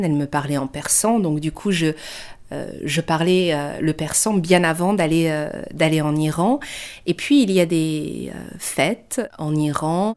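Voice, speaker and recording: Low-voiced woman, reflective and hesitant. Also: a little mellow. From France. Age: early 30s. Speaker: dancer and choreographic artist. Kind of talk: reflective personal interview narration.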